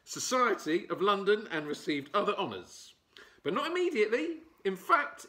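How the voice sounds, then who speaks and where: A man in his forties doing a playful, expressive storytime read-aloud from a children's book. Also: high voice. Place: furnished living room, indoors.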